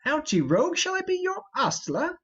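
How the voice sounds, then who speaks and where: A man's voice: very high voice, wildly swooping pitch, normal speed, a bit bright, dull audio, pitched above the performer's own voice, full character voice. A man voicing a mocking, cocky young prince with a taunting, commanding manner in an amateur Shakespeare reading.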